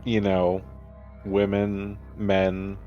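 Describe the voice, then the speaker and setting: Male tabletop player, casual and bemused, halting delivery, dull recording, faint music underneath. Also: on the low side, completely flat delivery. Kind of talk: casual in-character game chat.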